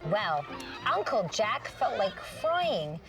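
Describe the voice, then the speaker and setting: A woman's voice: medium pitch, very animated, slow, recorded from a distance, over music, a crowd in the background. A woman hosting a children's show, reading a storybook expressively with dramatic little interjections and questions for young listeners.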